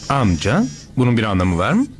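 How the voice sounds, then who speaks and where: An actor, a man, playing a coaxing, patronising and slightly fumbling therapist in an animated cartoon dub. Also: fairly low pitch, a little fast. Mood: probing. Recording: loud music behind the voice.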